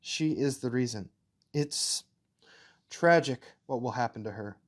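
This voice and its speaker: A man playing a devoted, coldly calculating Nazi scientist with an American accent, explaining in a measured, earnest way. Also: medium pitch, close to an everyday voice.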